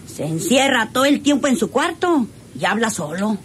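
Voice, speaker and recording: Cheeky, high-voiced Mexican servant. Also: female voice, sing-song, moderate pace, crowd noise. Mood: gossipy. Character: cheeky, gossipy rural servant woman. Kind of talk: radio drama acting.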